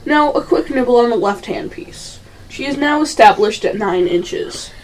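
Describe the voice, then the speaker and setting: A woman's voice: fairly high pitch, lively intonation, normal speed, far from the mic, rough recording. A female narrator reading scripted commentary in an amateur radio play.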